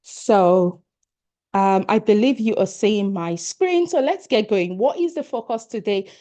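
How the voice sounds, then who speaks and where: Casting: middle-aged Nigerian-accented woman, leadership coach, mellow tone, earnest and encouraging, webinar over a laptop mic. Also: mid-range voice, expressive, measured pace, muffled recording. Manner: earnest and encouraging, addressing attendees directly. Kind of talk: online workshop presentation.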